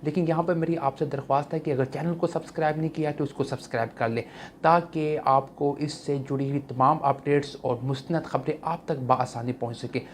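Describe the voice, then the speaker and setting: A man's voice: a little high, steady tone, a little fast. Pakistani man presenting news over background noise. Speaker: news commentary channel host. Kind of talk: news commentary to viewers. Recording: clear background noise, ambient sound.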